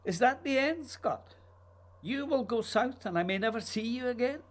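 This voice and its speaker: An actor playing an earnest, restless writer, needy and intense, in a theatrical, pleading radio drama style. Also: male voice, extremely high pitch, animated, moderate pace. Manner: theatrical, pleading, literary phrasing. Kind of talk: radio play acting.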